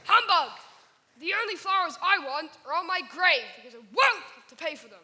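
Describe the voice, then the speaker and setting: A woman's voice: very high-pitched, lively intonation, fairly quick, sharp tone, heavily acted. A woman playing a stingy, miserly old man who barks at flower sellers in a stage musical. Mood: scornful.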